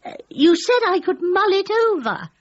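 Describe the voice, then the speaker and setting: High-pitched, flustered, timid dog owner. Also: female voice, very animated, normal speed, highs cut off. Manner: hesitant, flustered, pleading. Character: timid, flustered, soft-hearted woman devoted to her dog. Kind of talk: radio comedy drama acting.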